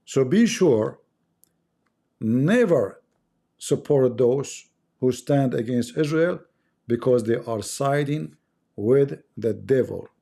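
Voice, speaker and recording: A man's voice: medium pitch, expressive, slow, vocal fry, pauses often, mellow. A man from the Middle East whose first language is Arabic, speaking English with an Arabic accent as he delivers an impassioned religious monologue on his livestream. He talks emphatically and preaches, repeating phrases for effect. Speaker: livestream host.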